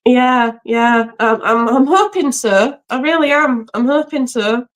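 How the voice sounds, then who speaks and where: A woman from England, a guest on a live stream, chatting warmly and laughing often over a video call from home. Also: on the high side, a little slow. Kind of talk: casual live-stream chat. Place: home by the sea.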